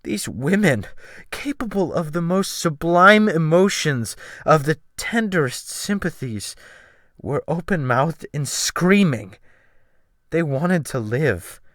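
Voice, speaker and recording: Forceful, bright-voiced man narrating dramatically. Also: a little high, animated, no echo, studio quality. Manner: theatrical, earnest, deliberate phrasing. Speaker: audiobook narrator. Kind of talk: dramatic first-person literary narration. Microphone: Blue Snowball USB microphone.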